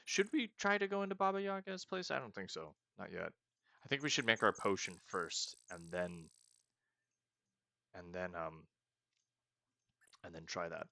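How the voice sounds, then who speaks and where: A man's voice: low-pitched, lively intonation, normal speed, vocal fry, pauses often, sharp tone, no echo. A young man casually commenting on a roleplaying game as he plays, thinking aloud and joking at his own mistakes. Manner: thinking aloud, chatty and self-mocking. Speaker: let's-play host.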